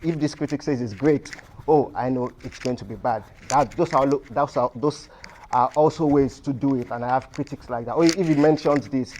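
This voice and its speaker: A man's voice: mid-range voice, normal speed, hardly any pauses, darkish tone, slight background noise. A man, a film critic speaking on a panel, earnest and repeating himself as he restarts phrases. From Nigeria. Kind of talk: panel discussion. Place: cinema hall.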